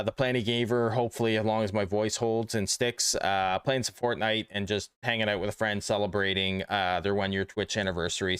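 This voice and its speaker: A man's voice: medium pitch, clear, resonant tone, dry recording, studio quality. A man streaming a video game and chatting casually with viewers while losing his voice. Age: adult. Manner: chatty, reads chat aloud.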